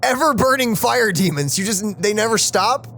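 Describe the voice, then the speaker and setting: Gaming host, medium-pitched voice, casual and reactive, faint game music behind. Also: animated, normal speed, fairly bright tone. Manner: informal, chatty, reactive. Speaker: let's play host.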